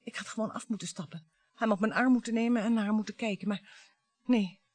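An actress playing a cool, cutting French bourgeois wife and regretful mother in a radio play, with pointed, deliberate phrasing. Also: mid-range voice, a little mellow, dry recording, lightly acted. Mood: wistful, regretful.

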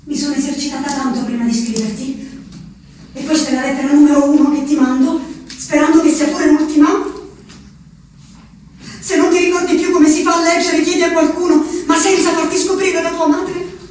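An actress playing a desperate, furious asylum inmate in a theatrical radio drama monologue, intense, accusing and pleading. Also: female voice, high-pitched, steady tone, moderate pace, darkish tone, slight background noise, natural voice. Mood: bitter, restrained. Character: desperate, furious woman locked in an asylum, writing letters. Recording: very echoey, distant, music behind.

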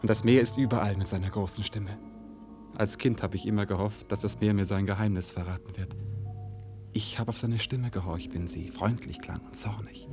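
Radio play lead: male actor as brave, poetic young lover, lower-pitched, solemn and lyrical delivery, faint music underneath. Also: fairly even intonation, moderate pace, close to an everyday voice. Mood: brooding, hushed. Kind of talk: German literary radio play acting.

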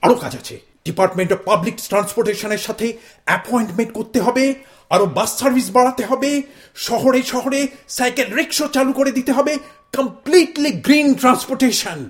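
High-voiced, theatrical father figure played by a man; boastful, big plans, audio play. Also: fast. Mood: fired-up. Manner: boastful, theatrical, mixing in English phrases. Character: fired-up middle-aged father with grand protest plans.

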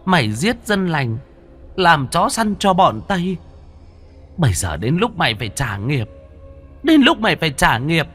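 A man's voice: on the high side, big pitch swings, unhurried, pauses often, a bit bright, faint music behind, voice pushed up, heavily acted. A man voicing a vengeful female ghost bride in a horror story, eerie and accusing as she passes judgment. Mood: furious, accusing. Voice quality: gloomy, eerie. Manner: accusing, condemning.

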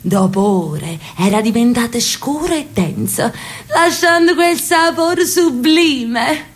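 An aging sister from Naples, fond and stubborn, coaxing her brother in a radio play. Her voice sits fairly high for a woman, with a lilt and warm insistence. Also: expressive, slow pace. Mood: lively. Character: elderly Neapolitan sister, insistent and affectionate. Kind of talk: radio drama acting.

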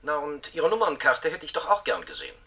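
An actor playing an evasive, officious corporate clerk in a science-fiction radio play, brisk and bureaucratic. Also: male voice, a little high, wildly swooping pitch, sharp tone, husky, heavily acted.

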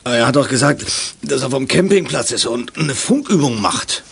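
Creaky, jittery small-time crook. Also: male voice, medium pitch, lively intonation, moderate pace, exaggerated voice. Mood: uneasy. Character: nervous, whiny petty crook, male, easily flustered. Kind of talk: German children's radio play acting.

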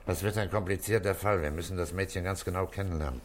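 An actor playing a calm, methodical police commissioner in a German radio play, asking terse questions and giving quiet orders. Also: male voice, deep voice, quick pace, a little hiss or hum, close to an everyday voice. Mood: pondering, methodical. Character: calm, methodical male police commissioner leading a murder case.

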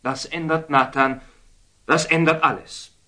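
An actor playing a proud, hot-headed young knight in a classic German radio drama, intense and theatrical. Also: male voice, on the high side, steady tone, measured pace, bright, forceful tone. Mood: indignant. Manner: intense, theatrical, breaking into stunned whispers.

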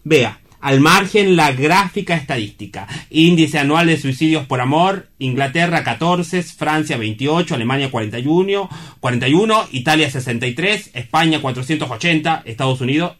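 Man acting courteous, high-voiced, didactic gentleman. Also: expressive, moderate pace, hardly any pauses. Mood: matter-of-fact, didactic. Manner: formal, polite, didactic. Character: courteous, wry man calmly reasoning with a would-be suicide. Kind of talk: theatrical dialogue from a comic stage play.

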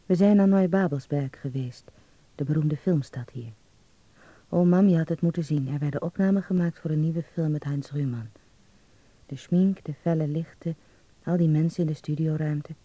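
A man's voice: fairly high pitch, normal speed, dark tone, dry recording, highs cut off, close to an everyday voice. An actor playing a dreamy young man in a radio drama, confiding intimately in a letter home to his mother. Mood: reflective.

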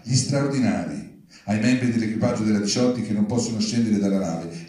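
A man with a low, mellow voice reads satirical letters aloud at a live event. His delivery is formal and deadpan. The sound is very reverberant, as in a big room. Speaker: reader at a public reading. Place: large indoor venue, live event. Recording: distant, very echoey hall.

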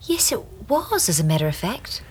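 A well-bred young English wife in a radio play. Her voice is breathy and swings widely in pitch. She sounds highly strung and brave. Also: big pitch swings, moderate pace, a little mellow. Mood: polite. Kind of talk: British radio drama acting.

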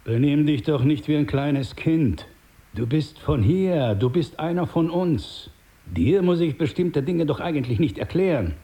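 An actor playing a paternal but menacing older crime boss in a radio drama, speaking in a measured, condescending, smooth-talking way. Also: male voice, on the high side, animated, dark tone. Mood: quietly threatening.

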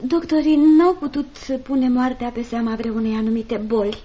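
A dull radio theatre recording of an actress as an anxious, genteel widowed mother. Her voice is high and dark-toned, sighing and pleading as she tries to placate everyone. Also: natural voice. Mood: plaintive, pleading. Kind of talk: Romanian radio theatre acting. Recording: dull, band-limited old radio drama recording.

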